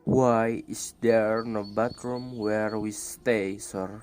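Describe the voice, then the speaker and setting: A man's voice: fairly low pitch, flat delivery, slow, a little mellow. A young man playing a blunt, sarcastic student in an amateur radio drama. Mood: complaining. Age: young adult. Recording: noisy, with birdsong and clicks.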